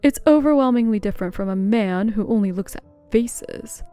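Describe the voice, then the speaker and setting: Woman as a stern, mellow-voiced female manager. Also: mid-range voice, normal speed, clear, resonant tone, no echo, faint music behind, close to an everyday voice. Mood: cool, reproving.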